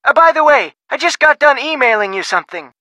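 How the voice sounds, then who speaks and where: A blustery folksy researcher. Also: male voice, extremely high pitch, expressive, fairly quick, bright, forceful tone, no echo, heavily acted. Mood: gruff. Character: gruff folksy older male shipwreck researcher. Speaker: phone contact in a game.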